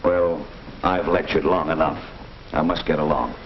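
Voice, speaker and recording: An American man playing a kindly, patient family doctor in a 1940s instructional film, lecturing in a measured, deliberate way. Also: rumbling low, steady tone. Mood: encouraging, instructive. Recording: muffled, hissy 1940s film soundtrack.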